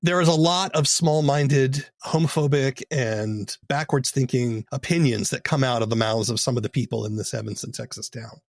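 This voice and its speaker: A man of medium pitch hosts an interview podcast. He sets things up in a deliberate, explanatory but conversational style before putting questions to his guest. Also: creaky, dry recording.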